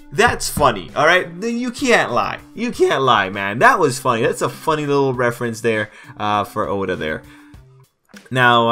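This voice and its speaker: Male reviewer, mid-pitched, casual emphatic commentary that repeats itself for effect, music underneath. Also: sharp tone. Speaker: reviewer of manga chapters. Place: voice-over over still images.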